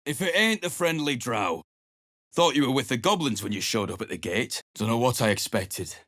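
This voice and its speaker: A man's voice: mid-range voice, expressive, normal speed, fairly bright tone. A man voicing a blunt, scornful sellsword. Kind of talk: video game voice acting.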